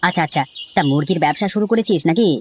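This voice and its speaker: Cartoon family man, played by a woman: lowish voice, quick talk, level-headed and reassuring, faint music behind. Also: darkish tone, a little hiss or hum, lightly acted. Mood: inquiring. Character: calm, reasonable village husband with office job. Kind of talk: animated children's story dialogue.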